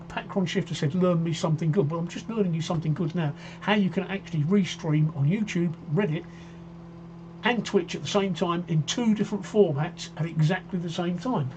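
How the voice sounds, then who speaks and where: A man in his sixties talks through a home live stream in a loose, rambling way, repeating phrases as he goes. His voice sits a touch high, and the audio is dull, with a clear electrical hum behind him. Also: darkish tone. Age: 60s. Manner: chatty, rambling, self-repeating. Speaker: livestream host. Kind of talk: casual live-stream chat reading viewer comments. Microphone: desk microphone.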